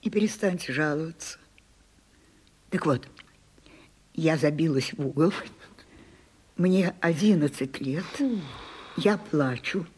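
An actress in a radio play, playing an imperious, capricious ageing stage diva with a theatrical, commanding, husky delivery. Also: female voice, low voice, takes it slowly, long pauses, darkish tone, exaggerated voice. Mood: exasperated, insistent.

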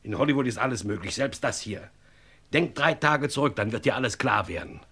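Dry, sardonic star's fixer, radio crime drama; rough husky medium voice, blunt and insistent. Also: male voice, medium pitch, a little fast, fairly bright tone. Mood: dry, emphatic. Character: blunt, sardonic male sidekick of a film star.

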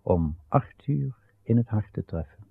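A deep, husky legend narrator. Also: male voice, expressive, dry recording, heavily acted. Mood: solemn. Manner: measured, archaic, portentous. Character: solemn storyteller chronicling an ancient legend. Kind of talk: scripted radio drama narration. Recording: narrowband old radio recording.

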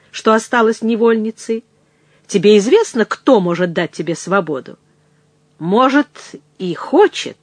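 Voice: mid-range voice, a little mellow, dry recording. A narrator voicing a jealous, passionate male suitor, wheedling and threatening by turns in an audiobook. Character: jealous, ardent suitor, menacing then pleading man. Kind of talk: dramatised audiobook reading.

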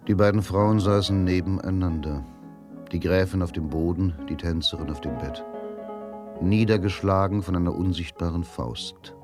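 A man narrating a radio drama in a measured, literary and dramatic manner. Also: sits low, lightly acted. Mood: grave, suspenseful. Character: grave literary narrator of a crime story. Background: music.

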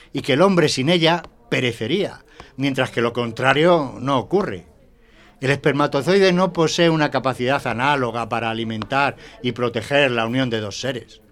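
A man's voice: fairly high pitch, fairly bright tone, lightly acted. An actor playing a pompous, easily flustered male schoolteacher who lectures emphatically in an audio drama. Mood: insistent, agitated.

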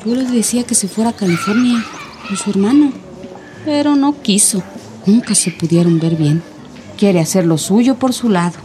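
A woman's voice: medium pitch, normal speed, mellow, natural voice. An actress playing a gossipy, watchful middle-aged Mexican village mother in a radio drama. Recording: music behind the voice.

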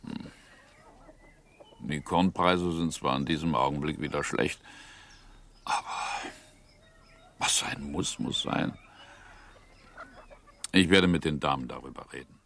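A man acting a worried older country gentleman in a radio play, plain-spoken and halting, sometimes dropping to a murmur. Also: rumbling low, full character voice. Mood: resigned, resolute.

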